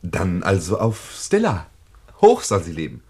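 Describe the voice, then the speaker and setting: Breezy young gentleman, very animated. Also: low-pitched, measured pace, breathy edge, heavily acted. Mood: gallant. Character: jovial, flattering young gentleman caller. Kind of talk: German radio play acting. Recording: noisy old radio recording.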